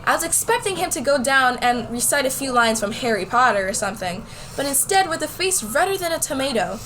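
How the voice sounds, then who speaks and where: A woman's voice: high-pitched, fairly bright tone, slight background noise, low-quality recording. A woman playing a nervous, bewildered schoolgirl who tells her own story in an amateur radio play. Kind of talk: first-person story narration and acted dialogue in an amateur radio play.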